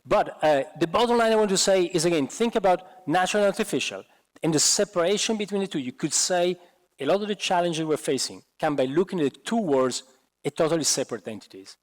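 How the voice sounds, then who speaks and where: A middle-aged man with an Italian accent, a bit hoarse, giving an animated university lecture on a headset mic, with many restarts and fillers. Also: high voice, fast talker. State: hoarse, losing his voice. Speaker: guest lecturer. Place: large university lecture hall. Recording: lecture hall with long reverb.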